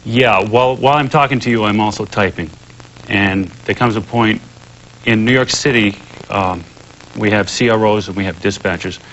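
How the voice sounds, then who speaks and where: A man's voice: on the low side, normal speed, a bit bright. A man who takes emergency calls, explaining procedure conversationally as an interview guest. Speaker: 911 ambulance call-taker, guest. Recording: dull, faintly noisy old tape.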